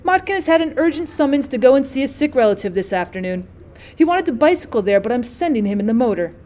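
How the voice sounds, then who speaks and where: A woman's voice: high-pitched, sing-song, quick pace, warm tone. A woman voicing a disapproving, upper-class older lady hosting a house party in a storybook reading. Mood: brisk, formal.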